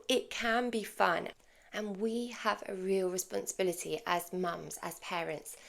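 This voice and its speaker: A woman's voice: fairly low pitch, gravelly. A middle-aged woman, a fitness coach hosting a podcast, talking emphatically and encouragingly while leading listeners through an exercise. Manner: encouraging, emphatic, repeats "really, really" for stress. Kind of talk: solo podcast talk with guided exercise.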